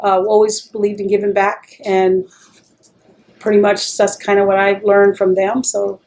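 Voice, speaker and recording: A woman's voice: medium pitch, completely flat delivery, normal speed, a little mellow. A woman from El Paso, Texas, talking in an oral history interview in a chatty, rambling way, full of restarts.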